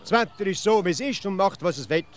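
A man's voice: high voice, animated, fast talker. A man playing a fretful, indecisive, simple-minded provincial Swiss man in a comic radio play, with a Swiss German accent and a hesitant, plaintive delivery. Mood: exasperated. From Switzerland.

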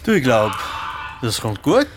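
A man's voice: medium pitch, huge pitch swings, unhurried, background noise. A man with a Swiss accent playing a good-natured, thoughtful monk craftsman who thinks aloud in a children's radio play. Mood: pleased, friendly.

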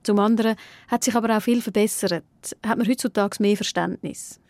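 Calm woman reporter. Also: medium pitch, brisk, no room sound. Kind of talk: scripted narration and interviewing.

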